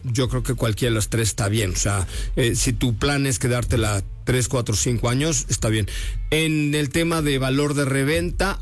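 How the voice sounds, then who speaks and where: Man, Mexican accent, car show host, casual banter, slangy, mid pitch. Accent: Mexican Spanish. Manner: joking, relaxed, uses slang and restarts. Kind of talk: casual car review chat.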